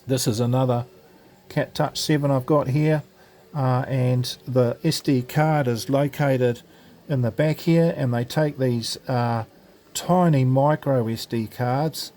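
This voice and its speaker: A man's voice: mid-range voice, steady tone, slow, mellow. A man giving an informal, unscripted product tutorial, explaining step by step in a casual, hesitant way. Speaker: presenter of an amateur radio gadget demo.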